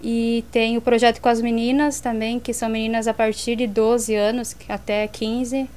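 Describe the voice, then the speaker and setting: A woman's voice: a little high, flat delivery, normal speed, a little mellow. A woman involved in church ministry, explaining her community work earnestly in an interview. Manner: earnest, explanatory, many fillers. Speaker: ministry worker. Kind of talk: conversational interview answers.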